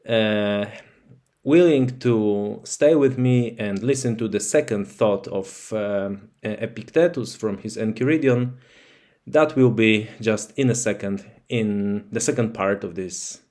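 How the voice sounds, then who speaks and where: A man's voice: lowish voice, a little mellow. A man whose first language is Polish, speaking English as a channel host to his viewers in a thoughtful, hesitant way with many fillers. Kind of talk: slow casual talk to camera.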